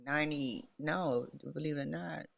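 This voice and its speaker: A middle-aged woman, chatting casually with her sons on a podcast. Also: moderate pace. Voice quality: husky, deep. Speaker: podcast guest, mother of the host. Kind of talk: casual family chat.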